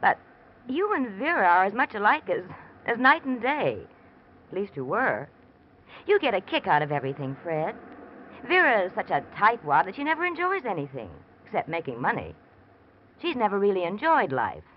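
A coy, worldly American woman in an old radio thriller. She teases and probes with very animated, theatrical intonation. The sound is dull and slightly hissy. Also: medium pitch, normal speed. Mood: probing, catty. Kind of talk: classic American radio drama acting. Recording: muffled, noisy old radio recording.

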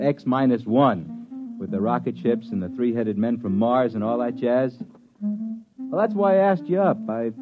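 A man's voice: medium pitch, phone-quality sound, lightly acted. A man playing a hip, laid-back American disc jockey who chats in beatnik jive in a 1950s radio drama. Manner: laid-back hipster, hesitant drawn-out chattiness. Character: hip, jazzy male disc jockey spinning a yarn. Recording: thin old radio recording, music behind. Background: music.

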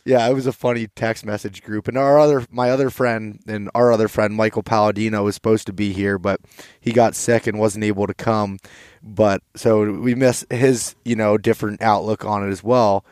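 Male host, twenties, informal and enthusiastic, slightly low clear voice, casual conversation. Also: level tone, normal speed, a little mellow, no echo, clean studio sound. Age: 20s. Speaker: podcast host. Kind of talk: casual chat. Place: outdoors by a campfire.

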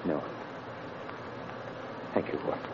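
An actor playing a weary, bitter, innocent condemned man, speaking in halting phrases in 1930s radio drama style. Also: natural voice. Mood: despairing, bitter. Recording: noisy, muffled vintage radio recording.

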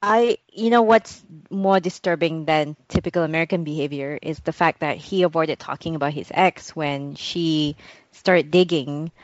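A woman from Singapore talks in a lowish voice, hesitant and often restarting her sentences. The sound is dry and dull. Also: moderate pace, dry recording. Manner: hesitant, many restarts and fillers. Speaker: podcast co-host. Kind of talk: casual chat.

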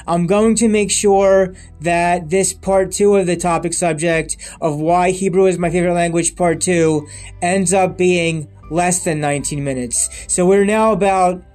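Man in his thirties with a high voice, rambling solo vlogger, lots of restarts, faint music underneath. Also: fairly even intonation, measured pace, darkish tone. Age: 30s. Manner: rambling and repetitive, with many restarts and emphatic lists. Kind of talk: unscripted monologue to camera.

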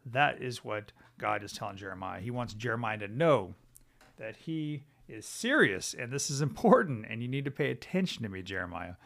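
A man's voice: medium pitch, very animated, normal speed, creaky, fairly bright tone. A middle-aged man hosting a Bible teaching podcast, talking conversationally and thinking aloud with many fillers and "right?" tag questions.

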